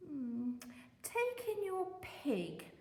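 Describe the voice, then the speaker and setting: A woman voicing a wise old man from a storybook, pondering and giving calm, drawn-out advice. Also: high voice, pitched above the performer's own voice, full character voice. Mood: pondering, sage. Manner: slow drawn-out pronouncements after a pondering hum. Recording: echoey room with long reverb.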